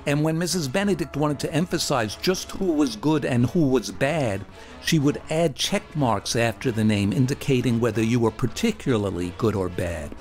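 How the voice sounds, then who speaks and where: A man's voice: mid-range voice, lively intonation, moderate pace, a little mellow, faint music behind. A man narrating a scripted first-person memoir like a radio storyteller, theatrically, drawing out words for emphasis. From Brooklyn, New York, United States.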